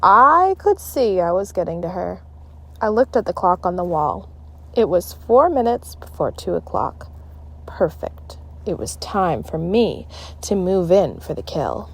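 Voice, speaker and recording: A woman narrating a children's book aloud in an expressive, dramatic, deliberate style. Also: lowish voice, creaky, breathy edge. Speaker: storybook reader.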